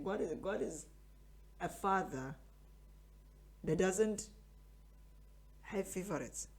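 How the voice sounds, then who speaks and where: A man's voice: high voice, a little mellow, breathy edge. A man of twenty-three, hosting a podcast as a casual motivational monologue and repeating phrases for emphasis. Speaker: podcast host.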